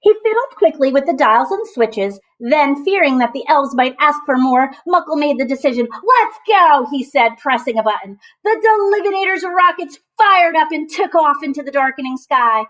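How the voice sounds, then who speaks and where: A woman with a high voice reads a picture book to children. She is expressive and emphatic, like a teacher, breaking off to chat directly to her young listeners. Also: normal speed, hardly any pauses, low-quality recording. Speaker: teacher reading aloud to children. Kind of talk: storybook read-aloud with asides to children.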